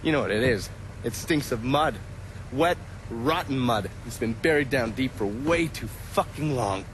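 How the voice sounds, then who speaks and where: An actor playing a world-weary, cynical intelligence agent, sardonic and profane, in a video game cutscene. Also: male voice, mid-range voice, lively intonation, unhurried, slight background noise, lightly acted. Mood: bitter.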